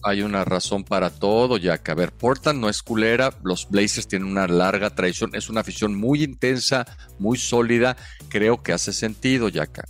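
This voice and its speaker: A man co-hosting a sports podcast, who explains his points in a measured way and teases his partner. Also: fairly low pitch, faint music behind. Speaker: podcast co-host. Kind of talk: casual sports chat.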